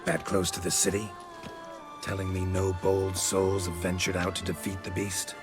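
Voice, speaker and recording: An actor playing a gruff, stoic monster hunter in a video game, with a low gravelly voice and terse, deadpan delivery. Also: male voice, normal speed, over music, close to an everyday voice. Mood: businesslike, stoic. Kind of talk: video game character acting.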